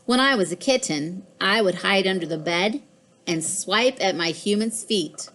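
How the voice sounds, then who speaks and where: Woman reading as slow-paced, boastful storybook house cat. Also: mid-range voice, animated, a bit bright. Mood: mock sad. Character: boastful, fussy, self-important pet house cat. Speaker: author reading own children's book. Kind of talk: scripted children's storybook narration.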